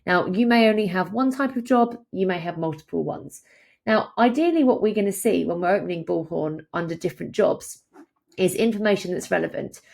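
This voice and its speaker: A woman in her thirties presenting a software tutorial as a conversational, step-by-step walkthrough. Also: fairly low pitch, brisk, gravelly.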